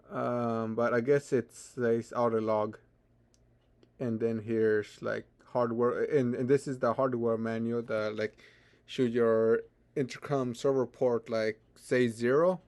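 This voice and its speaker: A man presents a step-by-step software tutorial without a script. His delivery is hesitant and halting, with repeated words and stammered starts, in a mid-pitched voice. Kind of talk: unscripted software screen tutorial.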